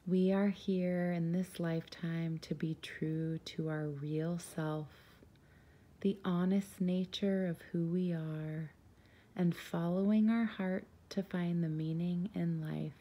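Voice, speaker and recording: A woman leading a guided meditation in a soft, soothing, gentle and unhurried voice, breathy at times. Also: fairly low pitch, fairly even intonation.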